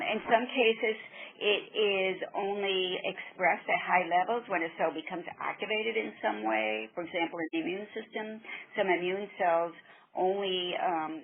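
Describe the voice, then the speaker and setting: A woman research scientist being interviewed by telephone, explaining technical matters in a measured, careful way. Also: on the low side. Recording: muffled narrowband phone line.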